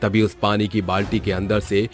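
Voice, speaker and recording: A man with a fairly low voice narrates a horror story. His delivery is scripted and dramatic, set over clearly audible music. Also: level tone, moderate pace.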